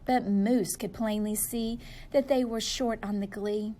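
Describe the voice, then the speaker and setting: A woman reading a children's story aloud in a playful, expressive way, leaning on words for emphasis. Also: mid-range voice, a little hiss or hum. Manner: animated, playful, drawn-out emphasis. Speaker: storybook reader.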